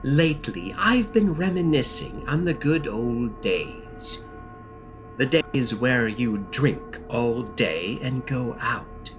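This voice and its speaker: A man playing a malevolent, calm knight in an audio drama, taunting and hungry, with a theatrical, drawn-out, mockingly friendly delivery. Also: medium pitch, over music. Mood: wistful menace. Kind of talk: audio drama villain monologue.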